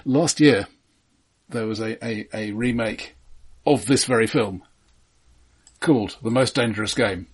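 A man co-hosting a film podcast, talking casually in a halting but precise, explanatory way. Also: lowish voice, slightly rough.

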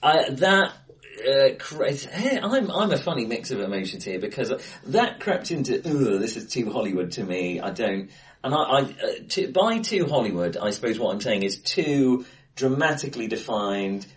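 A man co-hosting a film discussion in casual chat, hesitant and often rewording himself, recorded in a bright, echoey room. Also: mid-range voice, big pitch swings, normal speed. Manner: hesitant, self-correcting, rambling. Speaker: film podcast co-host. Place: indoors in an acoustically bright room.

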